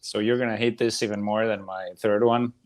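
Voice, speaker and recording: A man's voice: fairly low pitch, fairly even intonation, a little fast, a little hiss or hum. A man chatting casually about movies as a podcast panelist.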